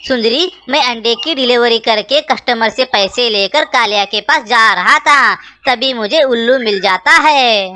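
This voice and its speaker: A woman's voice: a little high, a little slow, hardly any pauses, sharp tone, slight background noise. A woman voicing a hapless, henpecked male crow husband in a children's cartoon, pleading and melodramatic. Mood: complaining. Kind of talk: children's cartoon story dialogue.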